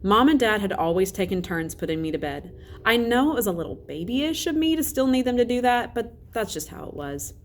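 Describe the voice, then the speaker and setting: A woman's voice: fairly high pitch, big pitch swings, fairly quick, slight background noise. A woman teacher reading a children's novel aloud as a narrator. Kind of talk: storybook read-aloud narration.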